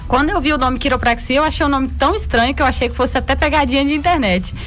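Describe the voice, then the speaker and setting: A woman's voice: high-pitched, rapid-fire, a bit bright. A young woman with a Bahian Brazilian accent explaining her work as a radio interview guest, earnest and talkative. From Guanambi region, Bahia, Brazil. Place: radio station studio. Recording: music behind the voice. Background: music.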